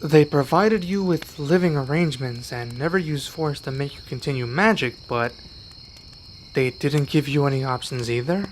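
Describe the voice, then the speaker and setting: A man acting a young, gentle, protective werewolf in an ASMR roleplay, speaking softly and earnestly with halting pauses. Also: mid-range voice, a little hiss or hum, lightly acted. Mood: curious, concerned.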